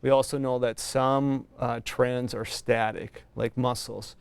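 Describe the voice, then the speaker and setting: A man, a university researcher, giving a steady, factual explanation in an interview. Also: mid-range voice, a little slow, creaky, clear, resonant tone.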